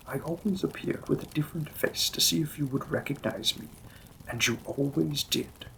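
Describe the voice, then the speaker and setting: A man performs a demon king from a romantic fantasy audio drama. He speaks slowly and intimately in a gravelly, bright-edged voice, formal in his wording and gently teasing, like a protective, possessive lover. Faint noise sits behind him. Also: mid-range voice, rough recording.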